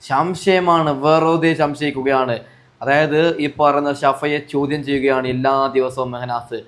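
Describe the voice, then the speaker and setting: A man's voice: on the high side, fairly even intonation, unhurried, a bit bright. A man giving indignant, accusatory commentary to viewers, repeating himself and throwing out rhetorical questions. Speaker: vlogger commenting on social media disputes.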